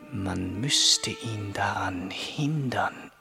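Tortured young man plotting murder, slow tense whispery brooding, old radio play, music behind. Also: on the low side, bright, forceful tone, exaggerated voice. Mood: anxious scheming. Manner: breathy half-whispered brooding monologue. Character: tormented young man tempted to commit murder. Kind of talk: 1960s German radio play monologue. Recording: vintage 1960s radio drama with music.

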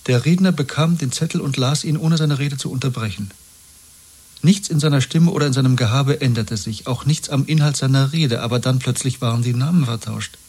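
A man's voice: medium pitch, brisk, a little mellow, breathy edge. An actor playing an earnest, secretly rebellious younger man in a German radio drama, pressing his point with weary intensity. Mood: probing. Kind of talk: 1970s German radio play acting.

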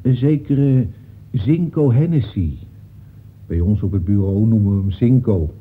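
Low-voiced plodding policeman. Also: a little slow, husky. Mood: chatty, matter-of-fact. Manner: roundabout, official, punctuates with polite address. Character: plodding, chatty, deferential police officer. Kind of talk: Dutch radio play acting. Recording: muffled, noisy old radio play recording.

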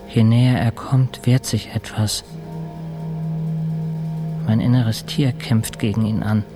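Slow, even-toned man, haunted patient played by an actor. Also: mid-range voice, natural voice. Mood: haunted, restrained. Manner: measured, fragmentary short phrases. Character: bedridden intensive-care patient recalling his youth, introspective. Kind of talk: literary radio drama monologue.

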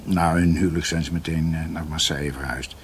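An actor playing an older doctor in a radio drama, telling family history in a halting, ruminative, emphatic way. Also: male voice, rumbling low, steady tone, a little hiss or hum. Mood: confiding, deliberate. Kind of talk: radio drama acting, conversational storytelling.

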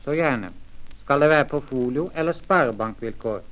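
A man reading a scripted language lesson formally, with measured, careful enunciation on a vintage record. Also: medium pitch, expressive, fairly bright tone, rough recording. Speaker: language course reader.

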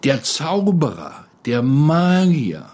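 A man's voice: fairly high pitch, huge pitch swings, slow pace, a little mellow. A man sharing a spoken recollection as an interviewee, emphatic and repeating phrases for weight. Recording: clear ambient background noise.

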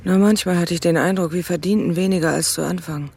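Troubled widow, low husky female voice, creaky edges, subdued and hesitant, naturalistic radio drama dialogue. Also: fairly even intonation, quick pace, darkish tone. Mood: troubled, pensive. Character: troubled widowed restaurant owner, a woman confiding in her cousin.

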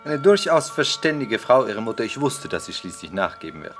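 Ein Schauspieler spielt in einem Hörspiel der 1960er einen zynischen englischen Aristokraten, geschliffen, weltgewandt und herablassend. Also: male voice, medium pitch, very animated, a little fast, fairly bright tone, soft background music. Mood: suave, persuasive. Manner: polished, epigrammatic, worldly, condescending. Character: suave, cynical English aristocrat dandy, lecturing a young man. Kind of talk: 1960s German radio play acting.

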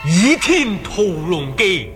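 Casting: male title announcer, high and animated, dramatic declamation, Hong Kong Cantonese, radio drama. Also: takes it slowly. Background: loud music.

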